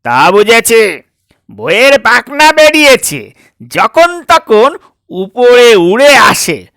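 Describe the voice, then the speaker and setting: A man voicing a stern father who scolds his grown son with biting, drawn-out sarcasm in an audio story. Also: very high-pitched, sing-song, creaky, pushed and bright, no room sound, clean studio sound, voice pushed up, exaggerated voice.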